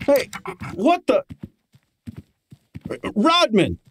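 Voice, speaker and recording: Man acting a brash, lively radio gumshoe. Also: very high-pitched, very slow, slight background noise, full character voice. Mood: exasperated. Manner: exasperated, sardonic, hard-boiled. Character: brash, wisecracking male private detective's assistant. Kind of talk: old-time radio detective drama acting.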